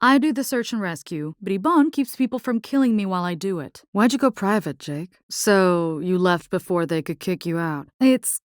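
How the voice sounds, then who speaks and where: A woman's voice: medium pitch, animated, moderate pace, few pauses, no echo, clean studio sound, lightly acted. A woman playing a spirited, caring young combat engineer in a video game, with a Latin American accent and informal, sassy talk that slips Spanish into English. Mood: teasing, frank.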